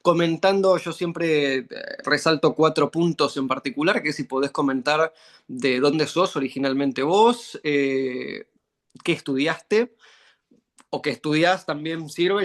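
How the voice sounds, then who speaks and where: A man with an Argentine accent hosting an interview over a video call, friendly and hesitant with many fillers. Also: on the high side. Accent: Argentine Spanish. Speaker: interview host.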